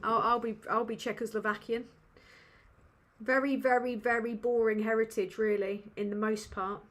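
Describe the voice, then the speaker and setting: A woman's voice: a little high, flat delivery, moderate pace, a bit bright. A woman with a British English accent hosting a casual livestream Q&A from a dim room at home, rambling and sweary with lots of ums. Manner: rambling and sweary, with many fillers. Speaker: livestream host.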